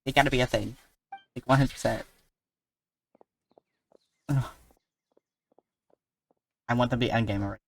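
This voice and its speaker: A streamer reacting live to a game, excitable and swearing while talking to the chat. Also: medium pitch, normal speed, vocal fry, pauses often, distant mic.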